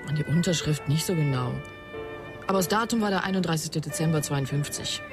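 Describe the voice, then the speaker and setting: An actress playing a cool, enigmatic photographer in conversational radio crime drama dialogue. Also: female voice, low voice, a little fast, lightly acted. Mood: guarded, wistful. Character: cool, enigmatic woman photographer, teasing yet uneasy. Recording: music under the voice.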